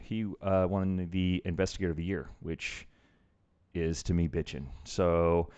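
A man's voice: low-pitched, fairly even intonation, a little slow, darkish tone, clear, resonant tone. A middle-aged man hosting a casual show, rambling loosely with many fillers as he introduces his guest. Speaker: podcast host. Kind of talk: casual chat.

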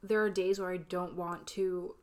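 A woman's voice: lowish voice, little pitch movement, moderate pace, darkish tone. A woman who runs a small business, chatting as a podcast guest, talking hesitantly with many fillers. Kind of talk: casual chat.

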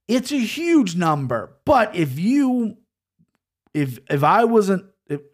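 Brash, swearing man with a high voice. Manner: brash, profane, rambling. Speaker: sports betting picks host. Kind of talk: casual betting breakdown.

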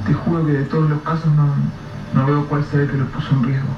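A man's voice: a little high, little pitch movement, fast talker, music in the background, natural voice. A man playing an anxious, evasive colleague who is trying to shield a grieving widow. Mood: guarded. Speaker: supporting character. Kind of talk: radio drama acting. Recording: distant, roomy, dull, noisy radio-play recording.

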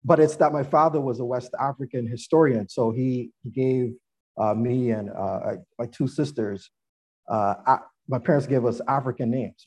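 Guy guest on a podcast, kinda husky and mellow, earnest and reflective, chuckles as he tells stories. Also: mid-range voice. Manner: earnest, reflective, laughs while telling stories. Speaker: guest, former athlete and attorney. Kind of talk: podcast interview storytelling.

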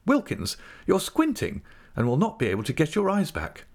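A man's voice: fairly high pitch, wildly swooping pitch, normal speed, gravelly, pitched above the performer's own voice, heavily acted. A self-important, doting wife, acted out by a man, in a raised, swooping voice. She is affable and businesslike, delivering earnest pronouncements with great airs, as in a classic storybook. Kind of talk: audiobook character acting.

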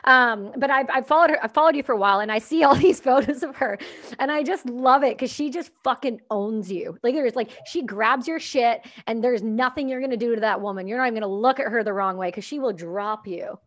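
A woman's voice: a little high, brisk, fairly bright tone. A woman hosting a podcast, chatting casually with a guest in a blunt, bantering, encouraging way. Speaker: podcast host. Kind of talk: casual chat.

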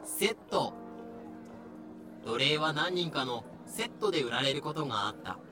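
A man narrating as a deadpan explainer in an audio drama, giving clipped, dictionary-like notes. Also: a little high, normal speed. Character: deadpan explainer of historical terms. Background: clear background music.

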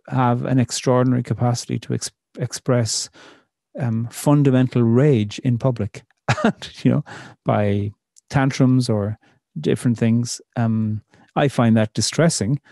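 A middle-aged man, a poet, speaking in a thoughtful, measured way with many pauses in an interview. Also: mid-range voice, fairly even intonation, gravelly, dark tone, no room sound, clean studio sound. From Ireland. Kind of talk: reflective conversational interview.

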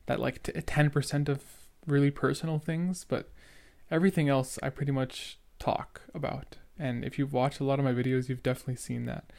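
A young man with a noticeable stutter talks through his thoughts in a casual, rambling way. He sounds earnest and leans on fillers as he comments on an old recording of himself. His voice sits at an ordinary pitch. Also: steady tone, brisk, gravelly, few pauses, a little mellow. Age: young adult. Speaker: podcaster reacting to his own episode.

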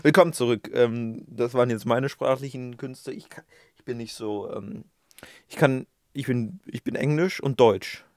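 A man's voice: medium pitch, moderate pace, dry recording, clean studio sound. A man co-hosting a casual podcast, rambling and self-mocking, with plenty of fillers. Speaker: podcast co-host.

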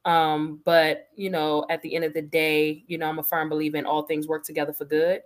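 A woman's voice: sits low, level tone, fairly quick. A woman in her thirties from the Washington, D.C. area, a sports media guest chatting casually and animatedly with many fillers and laughs. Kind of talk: casual interview conversation.

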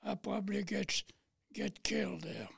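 A man in his late nineties with a French accent tells his own story plainly and haltingly. His voice is high and very husky, breaking into heavy fry. He takes his time between phrases as an interview guest. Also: steady tone, fairly bright tone, no echo, studio quality. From Paris, France. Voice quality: raspy, thin, breathy. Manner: plain, halting storytelling in non-native English. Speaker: interview guest, war veteran. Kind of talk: recounting personal memories in interview.

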